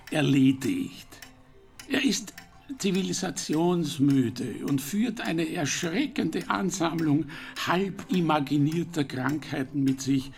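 A man's voice: on the high side, creaky. A man playing a dry, dismissive doctor in a German radio drama, clipped and disdainful in manner.

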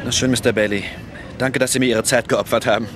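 An official, insistent male cop played by an actor with a medium-pitched voice. He keeps a polite front in a radio play, with loud street and car ambience all around. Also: steady tone, quick pace, background noise, natural voice. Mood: politely insistent. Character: formal, persistent male police officer questioning someone. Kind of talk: radio drama acting.

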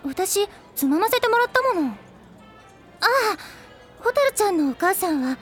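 A woman voicing a gentle teenage schoolgirl who recounts a childhood friend's story softly and earnestly. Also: very high-pitched, sing-song, a little slow, soft background music, heavily acted. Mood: worried. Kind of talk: anime drama CD acting.

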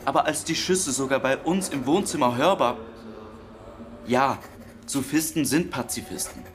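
An actor playing an earnest, troubled grown brother talking to his mother in a German radio drama, halting and emotional. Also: mid-range voice, over music, close to an everyday voice.

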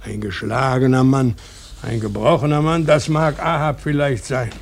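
An actor playing a grave, compassionate seaman in a radio play, husky and deliberate, speaking gently. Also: medium pitch, steady tone, natural voice. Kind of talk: German radio play acting.

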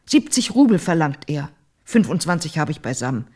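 A woman's voice: deep voice, sing-song, moderate pace, darkish tone, husky, exaggerated voice. An actress playing an anxious, determined mother in a German radio play, urgent and pleading.

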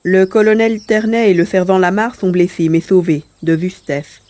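A man's voice: sits high, fairly even intonation, normal speed, darkish tone, no echo, close to an everyday voice. A man voicing a small, cheerfully boastful furry rodent-like alien with a lisp, in an audio story. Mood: matter-of-fact. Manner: lisping. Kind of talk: audio drama character acting.

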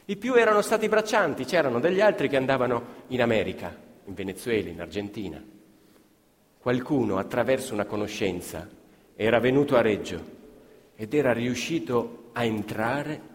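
An actor playing an impassioned working-class storyteller, declaiming theatrically. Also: male voice, medium pitch, animated, moderate pace, natural voice. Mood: reflective storytelling. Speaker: stage actor and narrator. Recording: live hall with long reverb, music. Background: live music.

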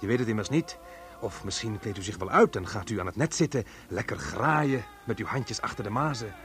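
An actor playing a chummy, unctuous radio reporter doing mock live commentary in a radio drama. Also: male voice, fairly low pitch, expressive, brisk, natural voice. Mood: smug sign-off. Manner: cheerfully unctuous, chatty. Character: smarmy, chummy male radio reporter at a grim spectacle.

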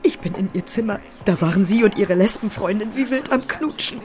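An actress playing a hysterical, intolerant mother with drawn-out, melodramatic delivery in a German radio drama. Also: mid-range voice, over music, natural voice. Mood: outraged.

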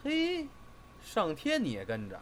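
A man's voice: sits high, wildly swooping pitch, unhurried, heavily acted. A man playing an exasperated, bossy senior crosstalk partner in an audio drama, brusque and scolding. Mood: reciting, exasperated. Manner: scolding, brusque, theatrical when reciting. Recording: faint background noise.

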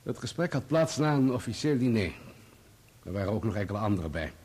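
An actor in a radio play playing a defensive journalist on the witness stand, giving formal, guarded answers. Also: male voice, fairly low pitch, sing-song, fast, darkish tone, husky. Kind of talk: courtroom radio play acting.

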